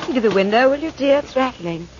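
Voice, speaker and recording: A woman's voice: high voice, lively intonation, fast talker. An actress playing a sleepy, dismissive wife soothing her husband, in 1950s radio acting style. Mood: sleepy, irritated. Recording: muffled, noisy vintage 1950s radio recording.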